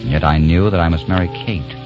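An actor playing a romantic, earnest American gentleman in a 1940s radio drama, with a courtly, dramatic delivery. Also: sits low, brisk, close to an everyday voice. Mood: yearning. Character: romantic American time traveler posing as an English cousin. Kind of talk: 1940s radio acting, first-person narration and dialogue. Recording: dull 1940s radio recording with music.

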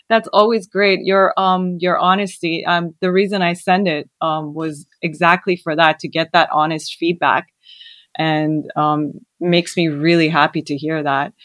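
A woman's voice: fairly low pitch, steady tone, unhurried, fairly bright tone, dry recording. A woman born in Iran and raised in Canada, a guest telling her story in an earnest, hesitant way with many fillers. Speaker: guest, skincare founder. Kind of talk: casual interview conversation.

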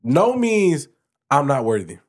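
A man's voice: fairly high pitch, wildly swooping pitch, slow, slightly rough. A man co-hosting a podcast, chatting casually and explaining his view at length, often restarting his sentences. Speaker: podcast co-host.

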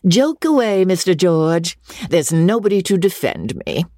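A proud, guarded young woman with a haughty, mock-innocent way of speaking, as a man reads her in a storybook. Her voice is lifted and breathy, dry and close. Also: fairly high pitch, huge pitch swings, normal speed, no echo, voice pushed up, exaggerated voice. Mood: wounded.

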